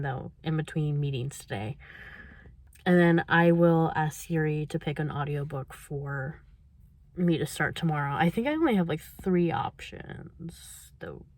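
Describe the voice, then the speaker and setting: A woman's voice: low voice, moderate pace, no echo. A young woman talking casually in a reading vlog, chatty and laughing often between her thoughts. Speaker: book vlogger.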